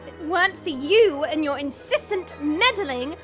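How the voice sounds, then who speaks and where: Woman acting as a haughty, shrill dragon princess. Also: lively intonation, normal speed, a bit bright, faint music behind. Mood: scornful, irritated. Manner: imperious, indignant, dramatic. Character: haughty, hot-tempered dragon princess turned small horned woman. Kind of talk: audio roleplay acting.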